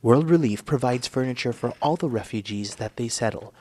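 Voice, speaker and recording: A man reading scripted documentary narration in a measured, earnest way. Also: mid-range voice, darkish tone.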